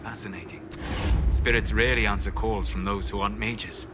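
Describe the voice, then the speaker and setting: A man playing a calm, erudite mage companion in a video game, measured and thoughtful. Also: fairly low pitch, background noise, natural voice. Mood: intrigued. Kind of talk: video game dialogue acting.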